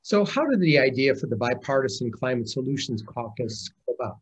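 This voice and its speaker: A man's voice: mid-range voice, big pitch swings, brisk, husky. An older man interviewing a guest over a video call from an office, asking plain, direct questions. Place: office with bookshelves.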